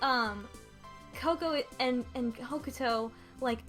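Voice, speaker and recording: A woman's voice: high voice, slow pace, fairly bright tone. A woman casually recapping a game's story among friends, exasperated and mimicking lines as she goes. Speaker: book club podcast panelist. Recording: music playing behind the voice.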